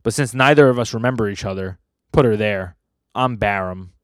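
A smug male cult villain, acted out by a man reading manga. He is breezy and taunting, needling people with relaxed confidence. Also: on the low side, a little fast, smooth, no echo, studio quality, close to an everyday voice. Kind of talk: manga dialogue read aloud.

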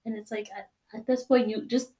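A fairly high-voiced woman in her twenties chats casually as a podcast co-host. She giggles easily and packs her talk with slang and filler words. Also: flat delivery, fairly quick, dark tone. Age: 20s. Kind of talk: casual chat.

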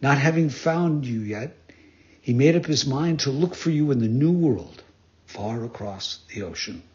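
A man voicing a large, calm, helpful pigeon in a storybook, speaking plainly and matter-of-factly. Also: medium pitch, unhurried, lightly acted. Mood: calm, informative. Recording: dull lo-fi recording.

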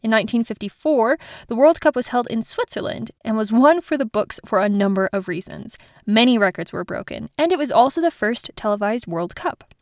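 A woman's voice: fairly high pitch, animated, a little fast, dry recording, studio quality. A woman hosting an educational podcast in a casual, chatty way, laughing at her own slips. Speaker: podcast host.

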